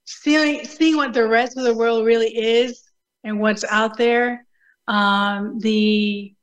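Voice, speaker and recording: A woman from Puerto Rico, speaking with a Puerto Rican accent and a fairly high voice. She is an interview guest on a video call, telling her own story conversationally. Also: steady tone, slow, poor audio. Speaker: show guest, Air Force veteran. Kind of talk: personal story in a remote interview.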